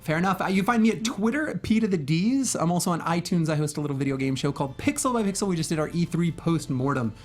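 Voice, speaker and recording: A man's voice: fairly high pitch, animated, fast talker, few pauses, a little mellow, soft background music. A man co-hosting a podcast, chatting casually in slangy, emphatic, repetitive bursts. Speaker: podcast co-host. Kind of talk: casual panel chat.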